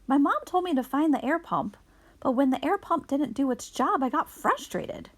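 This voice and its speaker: Woman voicing an earnest, high-voiced young child ninja. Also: lively intonation, moderate pace. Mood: upset, earnest.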